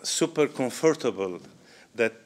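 A man in his thirties with a Syrian Arabic accent, giving an informal talk to an audience in halting English full of pauses and fillers, recorded by a distant camera in an event room. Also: mid-range voice, lively intonation. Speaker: guest speaker, restaurant owner. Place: medium indoor event room with diners. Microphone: far camera, no mic.

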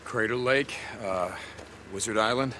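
An actor playing a gruff American soldier recruit in a video game, halting, deferential and understated. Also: male voice, fairly low pitch, in a large hall, background noise, close to an everyday voice. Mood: wary, respectful. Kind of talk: video game dramatic acting.

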